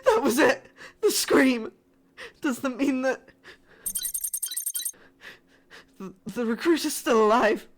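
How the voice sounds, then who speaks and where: A woman playing a frightened, pleading yet defiant spaceship crew member in a horror sci-fi audio drama, with halting, emotional delivery. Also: high voice, animated. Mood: grave, urgent.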